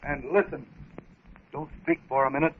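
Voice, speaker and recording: A man's voice: mid-range voice, fairly even intonation, moderate pace, a little hiss or hum, lightly acted. An actor playing a solemn, watchful jungle-raised wild man in terse, formal speech, in 1930s radio serial style. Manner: terse, formal, simple declarative speech with animal-language words. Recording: tinny muffled 1930s radio recording.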